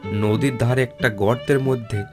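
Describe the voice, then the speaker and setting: A man's voice: mid-range voice, steady tone, normal speed. A man reading a scripted folk tale as narrator. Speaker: storyteller, narrator. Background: plucked guitar-like music.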